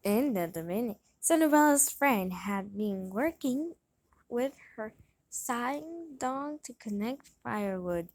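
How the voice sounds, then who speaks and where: A woman with a high voice narrates a read-along story for children. She goes slowly and haltingly, stumbling over words and sometimes repeating them. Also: lively intonation, a little mellow, slight background noise. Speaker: storybook reader.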